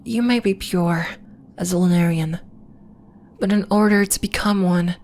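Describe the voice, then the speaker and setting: A woman playing a serene, solemn alien moon healer in an ASMR roleplay, speaking soothingly in formal, deliberate phrases. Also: lowish voice, darkish tone, lightly acted. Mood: solemn, reassuring. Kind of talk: ASMR roleplay, soft scripted monologue addressed to listener.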